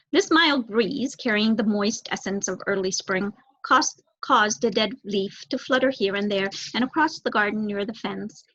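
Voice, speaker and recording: A young adult woman reading a children's book aloud as an expressive narrator. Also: mid-range voice, muffled recording. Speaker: story reader.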